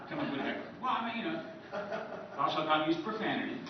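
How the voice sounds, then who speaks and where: A man speaks casually to a room full of fans, running through lists with friendly asides. He is picked up from far off in a very echoey meeting room. The sound is dull and carries a steady hiss. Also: on the high side, moderate pace. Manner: rambling, listing items, friendly asides to the audience. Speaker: author giving a convention talk. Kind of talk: informal lecture to a live audience. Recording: distant echoey room mic, muffled with background hiss.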